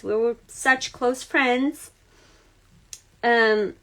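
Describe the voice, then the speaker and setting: A Serbian-accented woman hosts a relaxed craft livestream, talking unhurriedly in a slightly high voice. She chats to viewers by name, filling pauses with frequent ums and uhs. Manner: chatty, greets viewers by name, many fillers. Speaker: card-making host. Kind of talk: casual crafting livestream chat. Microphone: phone or camera on a livestream.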